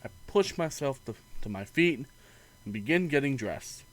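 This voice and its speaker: A man's voice: medium pitch, lively intonation, moderate pace. A man streaming a game, reading its narration aloud expressively, sometimes stumbling and breaking into casual asides.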